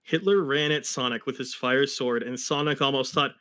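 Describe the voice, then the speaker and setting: A man's voice: medium pitch, normal speed, pushed and bright, smooth. A man giving a comic dramatic reading of a fan fiction story, with wry asides.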